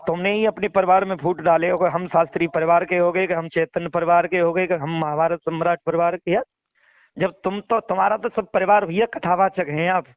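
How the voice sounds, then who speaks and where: A man's voice: high voice, steady tone, brisk. A man talks through a dull phone recording. He is earnest and exhortatory, circling back over his points and addressing the listener as brother. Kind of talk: casual phone conversation. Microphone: phone call.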